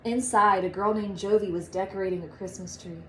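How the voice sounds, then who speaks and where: A woman reading a story aloud to children like a teacher, with animated, drawn-out storybook phrasing. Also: medium pitch, a little hiss or hum, low-quality recording. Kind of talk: expressive read-aloud storytelling.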